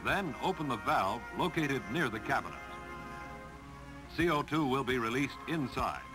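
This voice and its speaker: Male American narrator, 1950s instructional style, emphatic and animated, vintage film sound with music. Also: mid-range voice, moderate pace.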